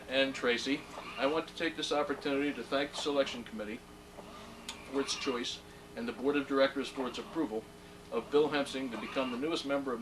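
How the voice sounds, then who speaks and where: A middle-aged man presents at an induction ceremony, genial and fond of joking asides as he reads out a plaque. His voice sits at an average pitch. He is heard from a distance, with clear background noise from the room. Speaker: presenter at a hall of fame induction. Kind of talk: ceremony speech. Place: ceremony hall. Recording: distant mic, noisy room.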